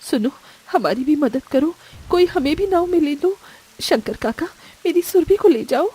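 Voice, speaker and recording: Woman playing a pleading, desperate poor mother, high voice. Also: lively intonation, moderate pace, mellow. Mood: begging. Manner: pleading, plaintive. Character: poor, desperate, loving mother of young daughters. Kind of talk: melodramatic Hindi animated moral story.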